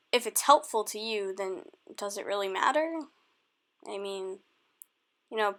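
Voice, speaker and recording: A woman with a naturally high voice talks into her home computer mic, rambling through a vlog. She sounds hesitant and a little defensive, restarting sentences and trailing off as she explains herself. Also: pushed and bright. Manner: hesitant, halting, many restarts, confused and defensive. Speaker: video creator. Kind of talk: rambling vlog response to comments.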